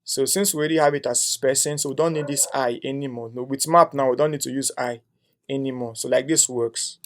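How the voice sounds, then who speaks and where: A man's voice: medium pitch, steady tone, fairly quick, a little hiss or hum. A young man teaching a coding tutorial, thinking aloud as he types, with frequent "um"s and a tag "right?". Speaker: coding instructor. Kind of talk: screen-share coding tutorial.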